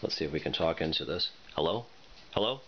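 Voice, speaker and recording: Very deep-voiced man, dull noisy recording. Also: expressive, fast talker. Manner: tentative, thinking aloud while fiddling. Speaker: product reviewer. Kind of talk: casual unboxing and demonstration talk.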